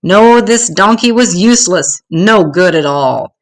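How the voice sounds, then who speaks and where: An older woman storyteller reading a children's story aloud in an expressive, measured way. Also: on the low side.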